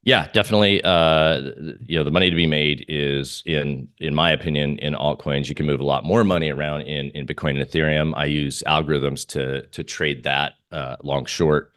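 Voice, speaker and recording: A man speaking as a guest in a casual interview about markets, halting and thinking aloud as he goes. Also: a bass voice, fairly even intonation, fairly bright tone, dry recording, clean studio sound. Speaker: trader guest being interviewed.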